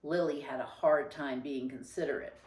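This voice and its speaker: A woman reading a children's story aloud with expressive, deliberate and emphatic phrasing. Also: a bass voice, distant mic. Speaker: storybook reader. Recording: roomy, echoing sound.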